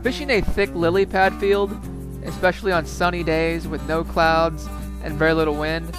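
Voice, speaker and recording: A young man giving calm, matter-of-fact fishing tips as a voice-over narrator. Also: on the high side, normal speed, over music. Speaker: fishing video host.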